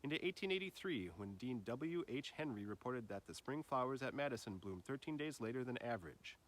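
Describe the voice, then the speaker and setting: A man reading a literary nature essay aloud in a measured way, his phrases often trailing off softer and lower. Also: expressive.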